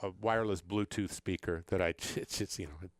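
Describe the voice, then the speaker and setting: A man's voice: low voice, steady tone, a little fast, dry recording, studio quality. A man co-hosting a sports talk show, giving casual, rambling answers. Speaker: sports radio co-host.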